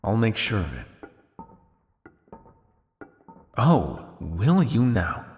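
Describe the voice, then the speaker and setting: A man's voice: lowish voice, sing-song, a little slow, big-room sound, faint music behind. A man playing a cynical, world-weary vampire scientist on the run in an intimate, condescending ASMR roleplay monologue. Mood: dry, sardonic. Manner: sardonic, condescending, with exasperated sighs and dry asides.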